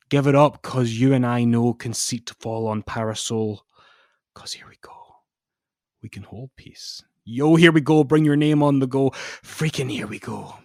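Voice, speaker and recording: A man playing a solemn poet, giving a theatrical mock dramatic reading of song lyrics, moving between hushed and loud delivery. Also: mid-range voice, dry recording, studio quality, natural voice.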